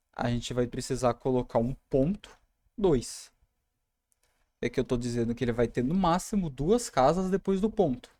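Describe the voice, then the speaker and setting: A man's voice: mid-range voice, a little mellow, no room sound. A young man with a Brazilian Portuguese accent, casually explaining code as he types in a screen-recorded tutorial. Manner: casual, talks through code while typing. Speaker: programming tutor.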